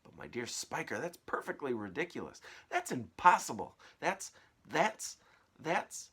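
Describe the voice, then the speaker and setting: A man's voice: medium pitch, huge pitch swings, measured pace, bright, forceful tone, breathy edge, pitched above the performer's own voice, exaggerated voice. A man voicing a grumpy, greedy, disbelieving aunt from a children's storybook, blustering and scolding. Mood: flustered disbelief.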